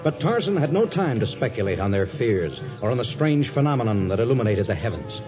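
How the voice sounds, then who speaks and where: A man's voice: mid-range voice, big pitch swings, a little fast. A man narrating a 1950s radio adventure in a dramatic, scripted storytelling style. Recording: dull vintage radio, loud music behind.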